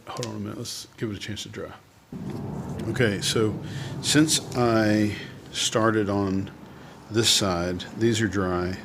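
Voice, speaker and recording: A man's voice: fairly low pitch, background noise. A man talking casually and unscripted as he demonstrates drawing, thinking aloud and trailing off as he goes. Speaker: artist hosting a drawing video.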